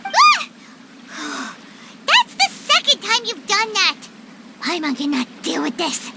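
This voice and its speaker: Game fairy sidekick: tiny, childlike and naive, extremely high squeak, hugely animated intonation, unhurried pacing. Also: female voice, slight background noise, full character voice. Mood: startled, indignant. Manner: squeaky, exaggerated, refers to herself in third person. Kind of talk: scripted video game character dialogue. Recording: clear music behind the voice.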